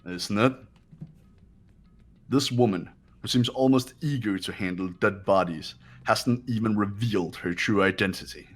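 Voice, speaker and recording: Man acting low, haughty young man. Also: faint music behind. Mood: suspicious, disdainful. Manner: condescending, measured. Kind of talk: amateur game voice acting.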